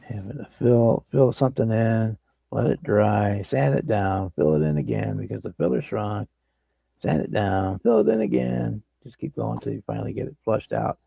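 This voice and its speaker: A man's voice: on the low side, moderate pace, gravelly, husky, no echo. A man hosting a model-building livestream, chatting casually and explaining his work as he builds. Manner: relaxed, rambling explanations while working. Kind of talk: casual workbench chat.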